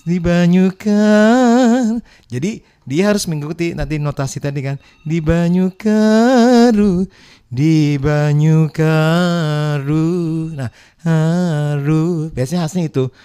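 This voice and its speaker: Male guest singer from South Kalimantan on radio, slow patient explainer, slightly raised pitch. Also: warm tone, clear, resonant tone. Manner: slow, patient explainer who sings examples. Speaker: guest singer and music expert. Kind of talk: radio talk show interview. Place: radio studio.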